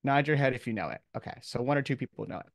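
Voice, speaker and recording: A man's voice: mid-range voice, rapid-fire, creaky, no room sound, studio quality. A man with an American accent giving an informal online lecture as a professor, in a casual, colloquial, explanatory manner. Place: video call, close dry sound.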